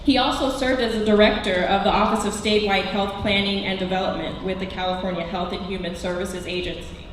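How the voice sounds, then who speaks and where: A woman's voice: mid-range voice, fairly even intonation, brisk, hardly any pauses. A woman presenter formally introducing speakers at an event. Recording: distant, very echoey hall, faint noise.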